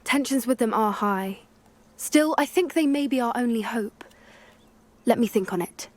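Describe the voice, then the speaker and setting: A woman's voice: fairly high pitch, moderate pace, close to an everyday voice. An actress playing an earnest young witch who cares about magical creatures in a video game. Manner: earnest, gentle. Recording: game audio with faint music.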